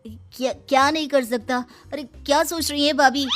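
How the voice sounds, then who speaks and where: A woman's voice: sits high, steady tone, moderate pace, a bit bright, soft background music. A woman voicing a dismissive young man for a cartoon, talking in a curt, refusing way. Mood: puzzled. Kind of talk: cartoon voice acting.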